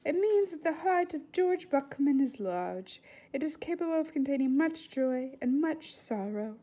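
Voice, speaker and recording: A woman voicing an elderly foreign woman doctor in a storybook, warm and philosophical, with emphatic, oddly formal phrasing. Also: high voice, lively intonation, a little slow, voice pushed up. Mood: earnest. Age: older.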